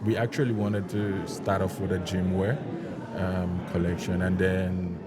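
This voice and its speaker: Low, even-voiced man over loud music. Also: measured pace, over a noisy crowd. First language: Yoruba. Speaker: small business owner, interview guest. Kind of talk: casual product explanation.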